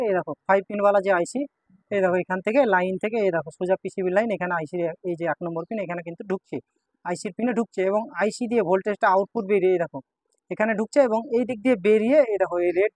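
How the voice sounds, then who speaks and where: Tutorial presenter, rapid-fire delivery, mid pitch, step-by-step repair demonstration, repetitive pointing-out, close dry recording. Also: a little mellow, no echo. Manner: repetitive, pointing things out to viewers.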